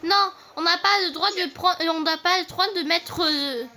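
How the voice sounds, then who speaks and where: A woman chatting while she plays a game, hesitant and excitable, using a lot of slang. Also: very high-pitched, moderate pace. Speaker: co-player. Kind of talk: casual chat while playing a game.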